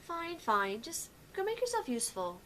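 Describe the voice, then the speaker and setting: A woman's voice: high-pitched, huge pitch swings, normal speed, a bit bright, full character voice. A woman voicing a young student council vice president in a visual novel, bossy yet apologetic and a little hesitant. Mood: exasperated, kindly. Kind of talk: acted visual novel dialogue. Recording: clear background noise.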